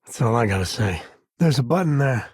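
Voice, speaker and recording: A man's voice: mid-range voice, lively intonation, a little fast, husky, no room sound, studio quality. An actor playing a wry, good-hearted space explorer and father in a video game, speaking in a casual, folksy way. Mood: easygoing, dry. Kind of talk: video game character dialogue.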